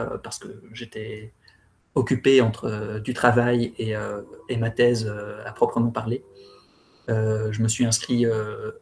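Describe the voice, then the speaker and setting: A man's voice: medium pitch, a little mellow. A man in his thirties from Belgium giving an online talk about his experience, with many hesitations and restarts. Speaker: speaker sharing experience.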